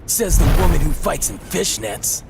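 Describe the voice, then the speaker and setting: A man's voice: on the high side, sing-song, normal speed, creaky. A man playing a cocky, eager young superhero in a video game, brash and boyish in his banter. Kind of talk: video game character dialogue. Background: loud music.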